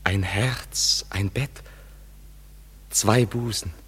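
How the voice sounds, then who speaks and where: Slow, husky, tender young lover. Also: male voice, fairly low pitch, a bit bright, heavily acted. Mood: ardent, devoted. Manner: slow, earnest verse declamation. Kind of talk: classic German radio play acting.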